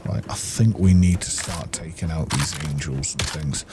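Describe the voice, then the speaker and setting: A man's voice: very deep voice, moderate pace, noisy background. A man giving casual gameplay commentary, muttering to himself as he plays. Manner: muttering to himself while playing. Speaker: gamer, video creator.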